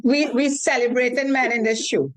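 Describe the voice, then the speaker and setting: A woman co-hosting a panel chat, asking questions conversationally. Her voice sits a little high and light. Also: fairly even intonation, normal speed. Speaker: co-host. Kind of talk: casual chat.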